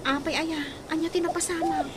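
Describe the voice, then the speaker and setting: A woman with an Ilocano accent playing a nosy, lively, exclamatory friend in a radio comedy drama, on a noisy recording. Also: very high-pitched, brisk. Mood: curious. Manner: animated, exclamatory, gossipy.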